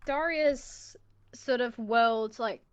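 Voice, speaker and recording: A woman born in America and living in England, co-hosting a casual chat stream, chatty and quick to laugh. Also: on the high side, clear, resonant tone. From born in the United States, lives in England. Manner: chatty, giggly, lots of fillers. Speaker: co-host.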